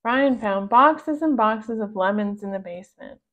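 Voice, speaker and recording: A woman reading a children's storybook aloud in an expressive narrating style. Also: medium pitch, measured pace. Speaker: storybook reader.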